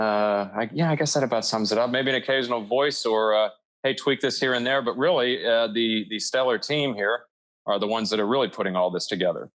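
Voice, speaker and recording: A man's voice: mid-range voice, a bit bright, low-quality recording. A man answering casually as a podcast guest, in a hesitant, self-effacing manner. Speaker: podcast guest, audio drama creator.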